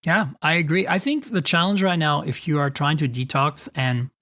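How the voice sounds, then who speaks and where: A podcast host in their fifties, asking and explaining in a relaxed, conversational way, often tagging "right?". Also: medium pitch, normal speed. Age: 50s. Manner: conversational, tags questions with "right?". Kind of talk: casual podcast interview.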